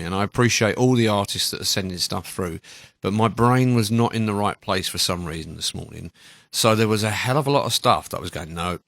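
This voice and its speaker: A man's voice: fairly low pitch, a bit bright, no echo. A man hosting a radio show, chatting casually and in a rambling, conversational way as he introduces new music, close on a studio microphone.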